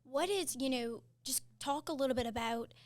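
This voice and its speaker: Upbeat high-voiced woman. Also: moderate pace. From Louisiana, US. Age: 20s. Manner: enthusiastic, upbeat. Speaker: interviewer. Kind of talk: casual interview.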